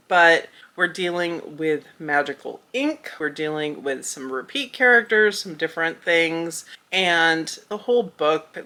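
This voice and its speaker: A woman with a bright, clear-toned voice sitting a touch low in pitch gives a relaxed, chatty update on her reading. A lingering cough leaves her slightly hoarse, but she stays upbeat and conversational throughout. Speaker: book vlogger. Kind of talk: casual chatty book update.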